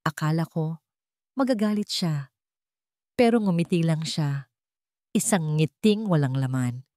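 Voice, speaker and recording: A woman with a fairly low voice narrates a first-person drama for an audiobook. Her delivery is solemn and emotive, recorded dry with hardly any room sound. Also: expressive, unhurried, darkish tone, no echo.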